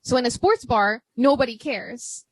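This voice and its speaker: Here a young American woman carries the conversation in a fairly high, bright register and a big voice. She chats loosely over drinks, filling her sentences with "like" and laughing easily, in the relaxed manner of a podcast host. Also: moderate pace, dry recording, poor audio. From United States. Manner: chatty, laughs often, lots of "like". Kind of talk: casual chat over drinks.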